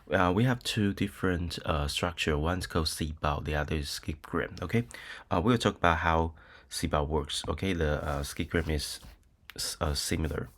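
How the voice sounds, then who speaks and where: Brief: middle-aged Taiwanese male professor, low voice, unhurried, filler-heavy lecturing. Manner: hesitant, many ums and uhs, frequent "okay?" checks. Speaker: university lecturer. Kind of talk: recorded lecture with screen demo.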